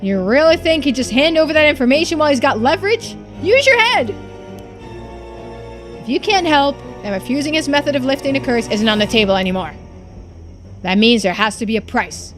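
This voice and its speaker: A woman's voice: high-pitched, expressive, brisk, pitched above the performer's own voice. Domineering island businessman played by a woman, visual novel villain, scornful and commanding, music underneath. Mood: scornful, pressing. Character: stern, domineering male island businessman and patriarch. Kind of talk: visual novel character voicing.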